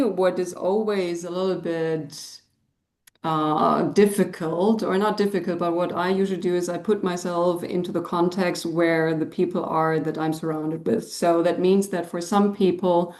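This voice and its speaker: Hesitant woman caller from Germany, slightly low voice, roomy echo on the line. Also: darkish tone. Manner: hesitant, lots of um, laughs nervously. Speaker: audience member asking a question. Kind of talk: live video call Q&A.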